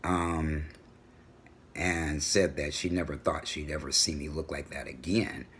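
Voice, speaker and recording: A middle-aged man with a booming voice, talking assertively in a personal home vlog. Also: unhurried, a little hiss or hum. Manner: assertive, animated storytelling. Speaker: vlogger. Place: home room with a world map on the wall.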